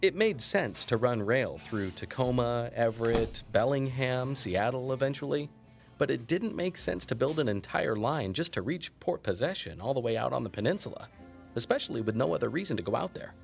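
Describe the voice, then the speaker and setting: Talkative local historian for audio fiction; mid-range voice, relishes old lore, folksy and dryly amused, given to tangents. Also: male voice, medium pitch, lively intonation, moderate pace, gravelly, faint music behind. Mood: enthusiastic, digressive. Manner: folksy, wry, digressive, with thoughtful asides. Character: chatty small-town male historian who relishes local lore. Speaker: town historian. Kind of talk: conversational storytelling in an audio drama.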